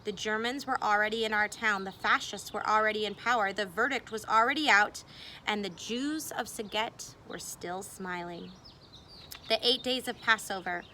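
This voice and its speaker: A woman reading a memoir aloud expressively, with deliberate phrasing and dramatic emphasis. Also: medium pitch, sharp tone, slight background noise. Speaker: reader.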